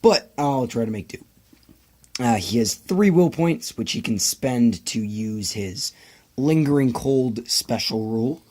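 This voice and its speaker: A man with a hoarse, lost voice, co-hosting a tabletop game chat and giving brief, strained explanations of rules. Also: mid-range voice, animated, unhurried, a little mellow. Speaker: co-host and player. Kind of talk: casual chat.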